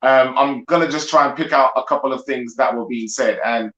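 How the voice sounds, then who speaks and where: A man, a guest panelist, makes a measured argument over a video call and keeps checking in with "right?". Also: medium pitch, a bit bright, lo-fi sound. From grew up in the United Kingdom. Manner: measured, builds his argument with frequent "right?". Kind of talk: discussion monologue. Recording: echoey room.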